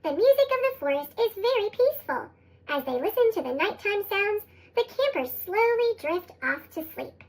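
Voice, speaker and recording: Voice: extremely high pitch, big pitch swings, moderate pace, poor audio. A narrator reading a children's storybook expressively through a sped-up chipmunk effect. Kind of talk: scripted storybook read-aloud for young children.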